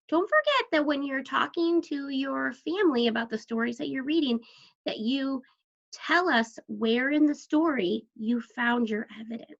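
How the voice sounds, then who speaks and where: A woman teaching young children about a story in a fairly high voice with noticeable creak. She speaks slowly, puts questions to her listeners and encourages their answers. The recording is somewhat dull and lo-fi. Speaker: elementary school teacher. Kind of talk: read-aloud lesson talk to young children. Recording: dull lo-fi sound.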